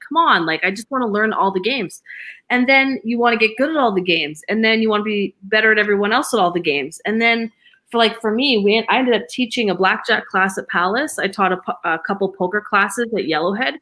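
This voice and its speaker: A woman in her forties speaks as a guest in a casual podcast chat. She talks in a reflective, rambling way with many fillers. Her voice sits at an ordinary middle pitch. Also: pushed and bright. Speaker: podcast guest, former poker dealer.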